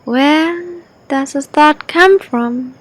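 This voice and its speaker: A woman with a high voice guides listeners through a meditation for falling asleep. She speaks extremely slowly, placing short phrases one at a time. Her instructions are gentle and deliberate.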